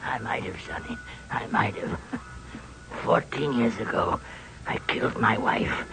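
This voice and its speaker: An actor playing a sly, dying old killer in a 1940s radio drama, gravelly and breathy, taunting as he confesses. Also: on the low side, expressive, unhurried, whispered, music in the background. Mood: bitter, confessing.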